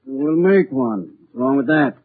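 An actor playing a tough, callous grave robber who talks in blunt underworld slang, in 1940s radio style. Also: male voice, mid-range voice, lively intonation, unhurried, breathy edge. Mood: callous, scheming. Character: tough, unscrupulous male grave robber gangster. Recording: muffled, tinny 1940s radio recording.

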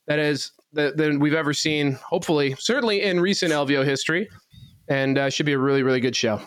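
A man's voice: fairly high pitch, fast, fairly bright tone, smooth, dry recording. A man co-hosting a casual chat, rambling through event plugs with fillers and restarts. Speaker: co-host. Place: studio.

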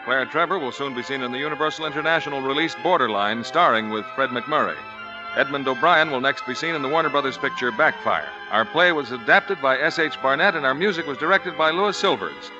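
A man's voice: mid-range voice, fairly quick. A man reading closing announcements in 1940s network radio style. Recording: dull vintage radio, music, audience.

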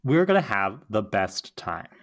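A woman reading the part of an eager but anxious young witch in a podcast script table read, expressive and pleading. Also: extremely low pitch, a little slow, full character voice. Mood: cheerful, reassuring.

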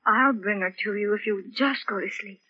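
An actress playing a gentle, anxious woman soothing a patient, hushed and coaxing, in a 1940s radio drama. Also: medium pitch, moderate pace, husky, no echo. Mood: tender, anxious. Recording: dull lo-fi 1940s radio recording.

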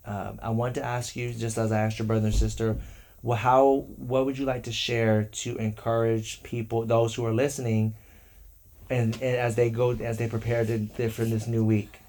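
A man's voice: medium pitch, level tone, moderate pace, darkish tone. A man hosting a devotional podcast, speaking affectionately and encouragingly and often repeating his phrases. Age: adult, parent of three. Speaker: podcast host. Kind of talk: encouraging devotional talk with children.

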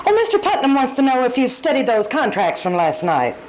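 A woman's voice: high voice, wildly swooping pitch, fairly quick, a little mellow, slight background noise. A woman playing a courteous, efficient office secretary in 1940s radio style, businesslike in manner. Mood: polite, puzzled.

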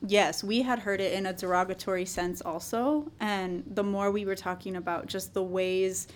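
A woman hosting a podcast, speaking in a measured, thoughtful way during a casual interview. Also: medium pitch, fairly even intonation. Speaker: podcast host.

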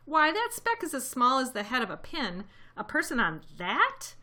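Woman doing a sour, loud, scoffing kangaroo. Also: high-pitched, wildly swooping pitch, moderate pace, voice pushed up, heavily acted. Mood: scornful. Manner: scoffing, emphatic. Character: sour, scornful female kangaroo, haughty and mocking.

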